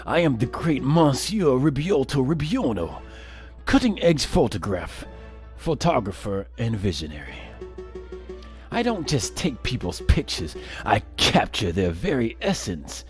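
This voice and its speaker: A man's voice: mid-range voice, sing-song, unhurried, soft background music. A man voicing a vain, self-adoring animal photographer in a courtroom game, pompous and grandiose. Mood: haughty.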